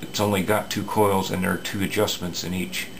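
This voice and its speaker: A low, gravelly male voice explains an old radio repair in an unscripted, halting way, stopping often for fillers and restarts. A faint hiss of background noise sits under the recording. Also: flat delivery. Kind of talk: casual workbench repair talk.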